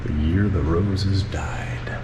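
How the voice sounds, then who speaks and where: A man with a deep voice narrating a casual walk-through of a public library, speaking low-key with fillers and keeping his voice down indoors. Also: steady tone, slow pace. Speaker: vlogger filming a public building. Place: outside and inside a public library. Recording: echoey with loud traffic noise.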